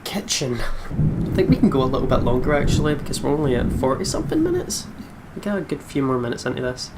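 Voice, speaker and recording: Casting: female let's play host, very deep and animated, casual, loud noise and clicks behind. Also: fast talker. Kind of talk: casual gameplay commentary.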